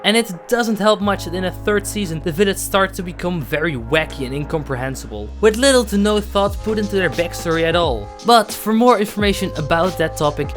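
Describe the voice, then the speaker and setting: A man reading scripted explainer narration as a guest narrator on a video essay. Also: sits high. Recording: prominent music behind the voice.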